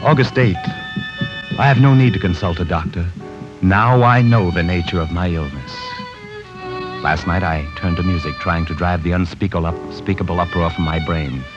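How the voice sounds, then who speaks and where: A man's voice: sits low, normal speed, close to an everyday voice. An actor playing an obsessed man who narrates his journal about a murder in a 1940s radio drama. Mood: haunted. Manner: brooding, haunted journal narration. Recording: lo-fi old radio broadcast with music.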